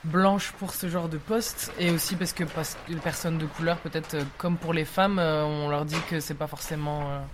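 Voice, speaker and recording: A woman's voice: sits low, steady tone, normal speed, hardly any pauses, noisy background. A woman giving her opinion hesitantly, as an interviewee would. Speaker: street interviewee.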